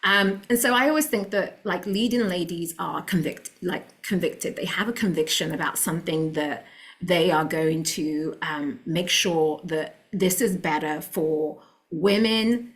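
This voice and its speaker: A woman's voice: on the low side, unhurried. A woman with a London accent, a podcast guest talking in an earnest, motivational way. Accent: London English. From London, England. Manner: earnest and motivational, with a few laughs. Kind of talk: casual interview chat.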